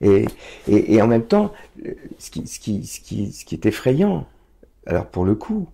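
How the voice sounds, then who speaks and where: A man's voice: fairly low pitch, sing-song, moderate pace, gravelly, dark tone, breathy edge. A man conducting a conversational interview, explaining ideas expressively with examples.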